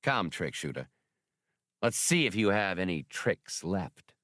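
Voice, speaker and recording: A man voicing a reptilian gunman with a smooth, dry, mocking voice. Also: low voice, big pitch swings, a little slow, fairly bright tone, dry recording, heavily acted.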